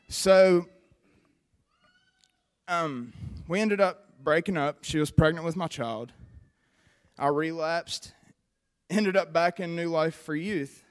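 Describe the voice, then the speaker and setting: A young man with a West Virginia accent shares his testimony before a church crowd. His voice sits a little high for a man, and he talks in an earnest, conversational way, often pausing with a soft "um.". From West Virginia, USA. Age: 20s. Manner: earnest, conversational, frequent "um" pauses. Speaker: recovery program member giving testimony. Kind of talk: personal testimony to a church audience.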